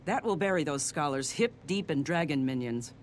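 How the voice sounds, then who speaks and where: An actor, a man, playing a composed, watchful secret agent in a video game, speaking in a measured way. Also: a little high, animated, slight background noise, natural voice. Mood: composed, firm.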